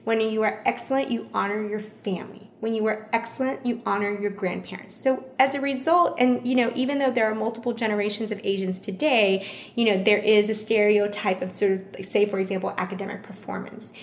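A thoughtful woman, born in the United States with Vietnamese as her first language, answers interview questions at a measured pace and often repeats a phrase to stress a point. Her voice has a gravelly, creaky edge. The recording is roomy and echoey. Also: medium pitch, fairly even intonation. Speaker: interview guest.

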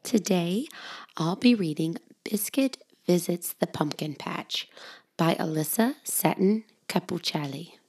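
A woman hosting and reading children's storybooks aloud in an expressive, simple way for young children. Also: fairly low pitch, a little slow, slightly rough. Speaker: children's storybook podcast host and reader.

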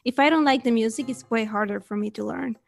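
A woman's voice: a little high, quick pace, vocal fry. A young woman from Venezuela, eagerly telling stories as a guest in an interview. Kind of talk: casual interview chat.

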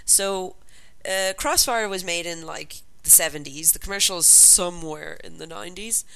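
A woman's voice: on the low side, moderate pace, creaky, bright, forceful tone, clean tone. A woman co-hosting a casual podcast about old toy commercials, talking in a rambling way with lots of ums and sounding sniffly from a cold. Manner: chatty, rambling, with frequent ums. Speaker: podcast co-host.

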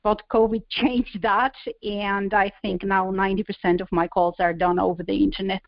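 Woman, earnest gentle guest, dry muffled call audio. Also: medium pitch, level tone, normal speed, dry recording. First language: Spanish. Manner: gentle, earnest, with small laughs. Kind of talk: interview over a call.

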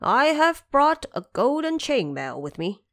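Woman as a high-voiced, cautious dragon king. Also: sing-song, a little slow, fairly bright tone, no room sound, clean studio sound, heavily acted. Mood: formal offering. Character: deferent yet scheming younger dragon king brother. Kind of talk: audio drama storytelling.

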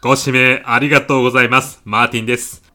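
A man's voice: mid-range voice, level tone, quick pace, pushed and bright. A man playing a polite, chatty AI concierge on a customer support line in a comedy audio drama. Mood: courteous, explaining. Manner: courteous, smooth-talking, over-familiar.

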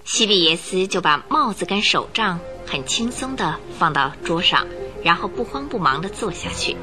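A woman's voice: fairly low pitch, animated, normal speed, sharp tone, faint music behind. A woman narrator telling a radio drama story from a script in a vivid, suspenseful, dramatic way.

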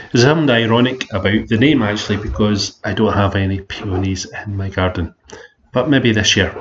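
A lower-voiced Scottish man talks through his own podcast in a friendly, conversational manner. The recording sounds a little dull and lo-fi. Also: slight background noise. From Scotland. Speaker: podcast host, amateur gardener. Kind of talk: solo podcast talk.

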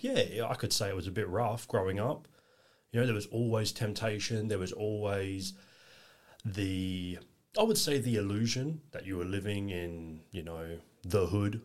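An English-born man, chatting easily as a podcast guest, rambling with lots of fillers. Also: on the low side. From England. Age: 20s. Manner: easygoing, rambling, lots of "you know". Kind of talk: casual interview chat.

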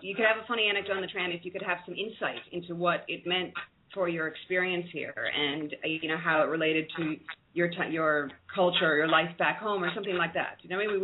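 A woman's voice: fairly low pitch, quick pace. A woman from the United States with an American accent answers reflectively as co-editor of a book. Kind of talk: radio interview answers. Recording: phone-like line with faint noise.

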